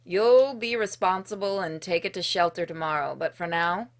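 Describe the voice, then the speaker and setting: Woman, lower-pitched voice, game streamer, expressive storybook-style narration, dry casual asides. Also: normal speed. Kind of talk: reading visual novel narration aloud with casual asides.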